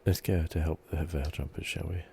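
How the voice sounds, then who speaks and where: A man giving relaxed ASMR commentary on a video game in a soft, unhurried, musing way. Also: extremely low pitch, vocal fry, a little hiss or hum. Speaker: gaming channel host.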